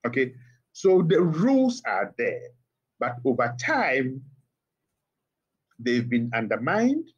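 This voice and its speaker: A middle-aged man with a Ghanaian accent, a guest speaking by phone in a TV interview, measured and emphatic with pointed rhetorical questions. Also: medium pitch, huge pitch swings, breathy edge, muffled recording. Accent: Ghanaian English. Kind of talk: TV interview commentary. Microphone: phone line.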